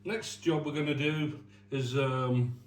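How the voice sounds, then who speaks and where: A man's voice: mid-range voice, fairly even intonation, takes it slowly. English man, echoey and off-mic. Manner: matter-of-fact, colloquial explaining. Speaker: restorer of vintage arcade machines presenting the work. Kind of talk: casual hands-on demonstration. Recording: roomy, distant mic with clear background noise.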